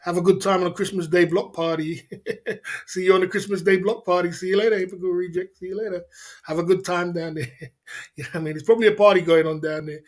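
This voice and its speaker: A man, casually hosting a livestream, rambling and laughing often as he answers viewers. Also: sits high. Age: 50s. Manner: rambling, laughs often, repeats filler phrases. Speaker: livestream host. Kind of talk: casual livestream chat reading viewer comments.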